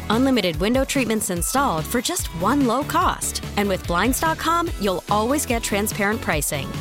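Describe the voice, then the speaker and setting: Female advert narrator. Scripted sales read, fairly high register, animated melody, music behind.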